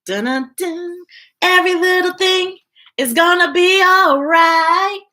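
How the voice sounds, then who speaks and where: A woman's voice: extremely high pitch, slow pace, bright, forceful tone, faint music behind. An American woman reading children's books on a live stream, singing the text with playful scat sounds and chatting between stories. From United States. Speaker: children's book reader.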